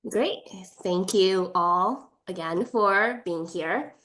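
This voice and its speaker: A woman's voice: mid-range voice, takes it slowly, a bit bright, low-quality recording. A woman hosting an online panel as moderator, polite and organized, with frequent fillers, on a video call. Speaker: moderator, admissions director.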